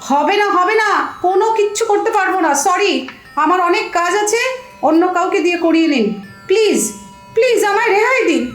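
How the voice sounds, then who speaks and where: Woman playing an exasperated, very high-voiced youngster. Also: expressive, a little fast, echoey room, soft background music. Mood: fed up. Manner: exasperated, protesting. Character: exasperated, sharp-tongued younger bank customer pestered for help. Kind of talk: comic audio drama acting.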